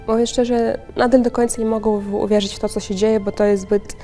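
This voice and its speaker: A woman in her twenties from Russia answering interview questions in Polish, slow and measured. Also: mid-range voice, little pitch movement, dark tone, soft background music. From Kaliningrad, Russia. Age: 20s.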